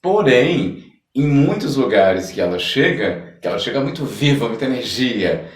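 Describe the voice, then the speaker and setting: A Brazilian man hosting a casual podcast, speaking haltingly and repeating words for emphasis. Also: medium pitch, very animated. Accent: Brazilian Portuguese. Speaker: podcast host. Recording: echoey room, distant microphone.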